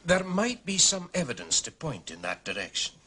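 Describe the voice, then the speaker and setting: A cautious Scottish policeman in a period drama. His voice is bright and very animated in pitch, with faint noise behind it. He is courteous and fatherly, yet hedges every answer. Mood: guarded. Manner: hedging, deliberate, officialese. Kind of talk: British television period drama acting.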